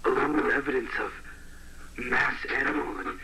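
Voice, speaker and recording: A man playing a troubled archaeologist who gives grave, halting testimony in an analog horror tape. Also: mid-range voice, pushed and bright. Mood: grave, formal. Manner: halting, stammering in places. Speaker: archaeologist witness in a horror series. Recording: very noisy, degraded vintage tape sound.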